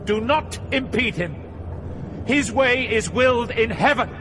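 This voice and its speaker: A commanding male spirit guide, calls out forcefully at a very high pitch. The voice is distant, with clear noise around it, in an audio drama. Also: expressive, a little slow. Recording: distant, noisy background.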